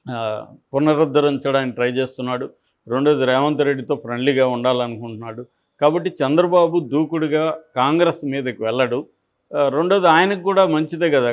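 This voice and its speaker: Male analyst, somewhat high pitch, unscripted explanatory political commentary. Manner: explanatory, conversational.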